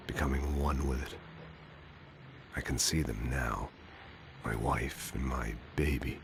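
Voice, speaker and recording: An extremely low-voiced, world-weary man delivers a hardboiled game monologue. He is brooding and fatalistic, as if telling his own end, with faint noise behind him. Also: gravelly, exaggerated voice. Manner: brooding, fatalistic, slow metaphor-laden delivery.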